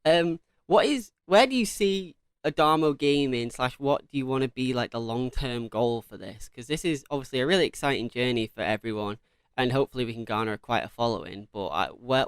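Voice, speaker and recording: A man's voice: mid-range voice, moderate pace, clean tone, dry recording. A man hosting a podcast, asking questions in an informal, eager, chatty way. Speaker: podcast host. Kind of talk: casual chat, interviewing.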